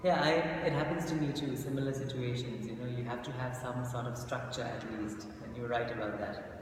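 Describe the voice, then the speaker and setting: A man's voice: mid-range voice, steady tone, a little fast. Male interviewer from India, courteous and encouraging, recorded at a distance in a reverberant room. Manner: polite, encouraging, frequent short backchannels. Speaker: English teacher and interviewer. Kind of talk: structured interview, reading questions. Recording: echoey room, distant mic, noisy.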